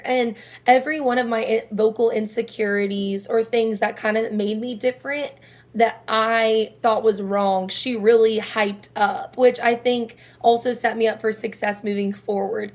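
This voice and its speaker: A young woman with a raspy speaking voice, though her pitch sits a little high for a woman. She answers interview questions in a chatty, rambling way, often laughing between her thoughts. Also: fairly even intonation, moderate pace. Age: young adult. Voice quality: low raspy voice. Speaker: singer-songwriter guest.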